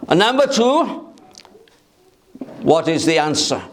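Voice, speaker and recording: A man preaching a sermon in a church through a microphone, speaking loudly and emphatically and asking rhetorical questions. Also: a little high, sing-song, takes it slowly, a little hiss or hum. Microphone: amplified by a microphone.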